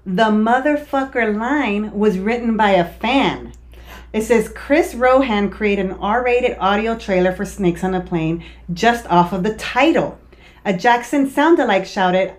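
A woman's voice: medium pitch, a little slow. A woman co-hosting a podcast in casual chat, speaking with a lisp, laughing often and swearing freely. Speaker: podcast co-host.

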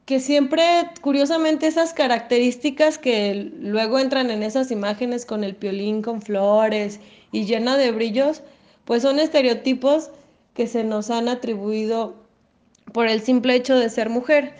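Mexican woman sharing her view, kinda high voice, chatty and loose. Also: a little slow, a little mellow. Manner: chatty, with restarts and fillers. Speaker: guest speaker. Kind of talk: casual opinion piece.

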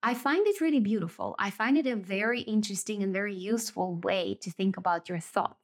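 A woman's voice: mid-range voice, fairly quick. A woman whose mother tongue is Russian, speaking thoughtfully and explaining ideas in a reflective solo podcast monologue, often rephrasing as she goes. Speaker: podcast host.

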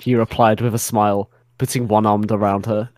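A man's voice: fairly low pitch, little pitch movement, brisk, a little mellow, dry recording. A man narrating fan fiction as a dramatic reading, giggly and often close to breaking up. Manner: giggly, keeps breaking into laughter.

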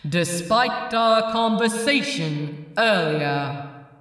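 A woman acts out a stern divine spokesman for an audio story. The delivery is slow, measured and icily formal. The voice sounds distant, drenched in long cavernous reverb. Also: fairly low pitch, animated, a bit bright, voice pushed down, exaggerated voice. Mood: cold disapproval. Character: cold, imperious heavenly spokesman passing judgement. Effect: heavy echoing reverb. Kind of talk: fan fiction audiobook character voice.

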